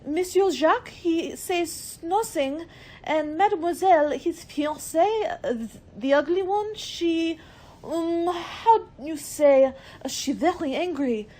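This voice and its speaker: Woman playing a high-voiced, flattering French maid. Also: slow pace, full character voice. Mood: hesitant, confiding. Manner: hesitant, searching for English words. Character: French maid, gushing, flattering, halting English. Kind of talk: radio play acting.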